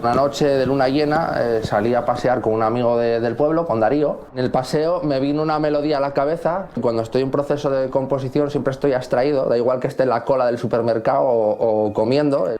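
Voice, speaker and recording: A man's voice: mid-range voice, fairly even intonation, brisk, hardly any pauses, darkish tone, a little hiss or hum. Man, searching for words. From Asturias, Spain. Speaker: composer being interviewed. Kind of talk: reflective interview about own work.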